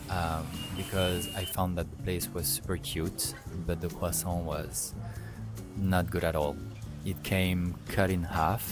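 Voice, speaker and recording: A young man with a French accent, talking casually about food in a rambling, chatty vlog full of fillers. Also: deep voice. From France. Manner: chatty, rambling, with many fillers and self-corrections. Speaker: food vlogger. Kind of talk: casual travel vlog narration. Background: music.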